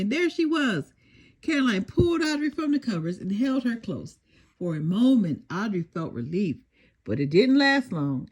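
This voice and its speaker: An older woman reading a children's story aloud in an expressive storytelling style. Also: a little high.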